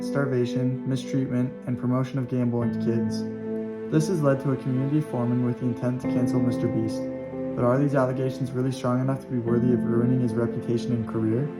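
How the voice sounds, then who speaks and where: Man narrating over guitar music. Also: medium pitch. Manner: earnest, reads from a script. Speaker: student narrator. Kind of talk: scripted documentary narration.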